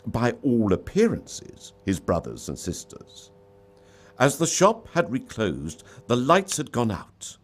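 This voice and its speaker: A man reading a story aloud in an expressive, theatrical storybook style. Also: medium pitch, slow pace. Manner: theatrical, dramatic delivery. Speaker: story reader.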